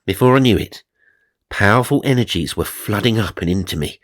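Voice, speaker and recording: A man's voice: on the low side, expressive, fairly quick, no room sound. A man narrating supernatural magazine stories for comedy, mock-serious and dramatic, with wry asides. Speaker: narrator and host.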